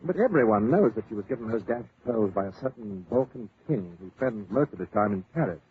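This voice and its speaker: A man's voice: lowish voice, animated, brisk, natural voice. A man acts out a wealthy English lord in an old radio play, flustered and indignant. He rattles along in an upper-class British accent, stammering as he goes. The sound is a tinny old broadcast with light noise. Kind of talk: 1940s radio acting.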